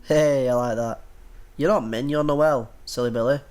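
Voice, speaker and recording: A man's voice: mid-range voice, steady tone, moderate pace, darkish tone. A man in his twenties with a head cold, giving casual let's-play commentary. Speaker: gaming YouTuber. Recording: music behind. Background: background music.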